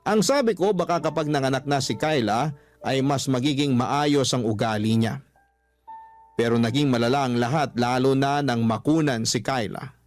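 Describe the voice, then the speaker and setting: A man's voice: mid-range voice, normal speed. A man narrating a first-person story as a radio storyteller, with music behind him. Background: faint music.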